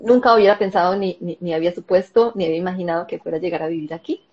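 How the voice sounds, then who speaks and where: Soft-spoken Colombian woman, muffled audio. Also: on the low side, rapid-fire. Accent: Colombian Spanish. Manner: soft, reflective, with hesitant fillers. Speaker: guest, psychologist and therapist. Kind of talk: interview, personal story.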